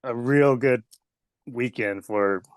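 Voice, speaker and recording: A man's voice: mid-range voice, slow pace, no room sound, clean studio sound. Laughing, rambling man with a Southern accent. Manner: laughing, rambling, uses many fillers. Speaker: guest wrestler. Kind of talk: casual storytelling.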